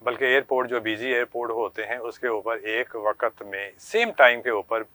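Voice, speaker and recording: A man's voice: medium pitch, normal speed, sharp tone, no echo, poor audio. A middle-aged Pakistani man giving explanatory interview answers as a guest expert, polite and deferential, often repeating his phrases. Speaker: guest expert, air traffic controller.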